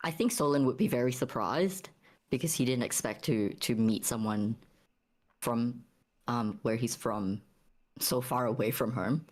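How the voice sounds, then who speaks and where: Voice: mid-range voice, a little slow, darkish tone. A tabletop game player casually describing a character in a hesitant, thoughtful way.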